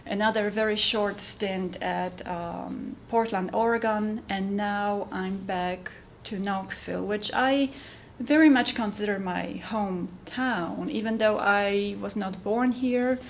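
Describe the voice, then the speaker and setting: Middle aged polish lady chatting slowly, lots of vocal fry, kinda hesitant, bit of noise behind her. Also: medium pitch, fairly even intonation. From Poland. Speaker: composer giving updates. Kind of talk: informal monologue to camera.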